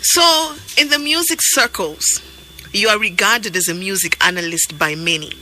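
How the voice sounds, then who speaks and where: A woman's voice: medium pitch, expressive, normal speed, pushed and bright, soft background music, poor audio. A woman hosting a radio interview, asking prompting, encouraging questions. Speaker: radio host.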